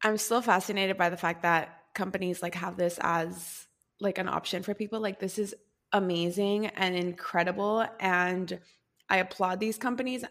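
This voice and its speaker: A woman's voice: on the low side, steady tone, moderate pace, fairly bright tone. A woman hosting a podcast, talking in a chatty, rambling way with many fillers and asides. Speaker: podcast host. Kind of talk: casual podcast conversation.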